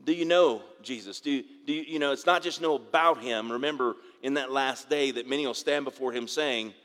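A male pastor preaching a sermon in a church, his voice raspy from dry air, often repeating words and asking for amens. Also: medium pitch, fairly bright tone. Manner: halting, repeats words, asks congregation for amens. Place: church sanctuary. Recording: long reverb of a large hall.

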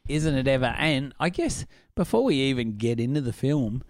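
Husky-voiced man hosting a podcast. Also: mid-range voice, big pitch swings, normal speed, no room sound. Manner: chatty, enthusiastic, laughs often. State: husky from illness. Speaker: podcast co-host. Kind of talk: casual film podcast chat.

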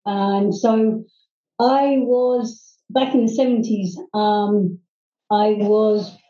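A middle-aged woman from Hong Kong, telling her life story as a guest, earnestly and haltingly, with many ums and restarts. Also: medium pitch, steady tone, mellow. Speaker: guest, private English teacher. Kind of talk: personal storytelling in interview. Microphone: video call. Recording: distant, roomy, dull video-call audio.

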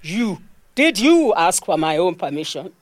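A vexed tree spirit, played by a man in an audio drama. The voice is high, confrontational and full of accusation. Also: big pitch swings, measured pace, slight background noise. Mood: vexed, accusing.

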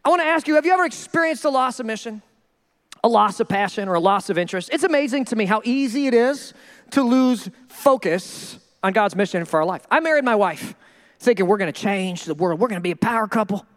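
A male pastor preaching a sermon in an animated, joking way, acting out the people he quotes. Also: sits high, quick pace, long reverb tail. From Minnesota.

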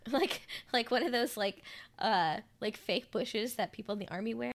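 A woman co-hosting a casual chat podcast, hoarse and raspy from a cold, joking and laughing often. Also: on the high side, moderate pace. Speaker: podcast co-host.

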